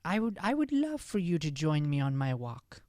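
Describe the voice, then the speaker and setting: A man's voice: on the high side, big pitch swings, normal speed, a little mellow, no room sound, clean studio sound. A man playing a shy, smitten fourteen-year-old Irish schoolboy in an improvised radio comedy, stammering and flustered. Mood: bashful, earnest.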